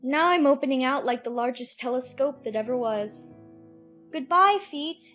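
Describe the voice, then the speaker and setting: A woman's voice: high voice, moderate pace, a bit bright, soft background music. A woman playing a curious, chattering young girl who muses aloud to herself in a dramatized audiobook. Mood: amazed. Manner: talking to herself, musing aloud. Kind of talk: dramatized audiobook character acting.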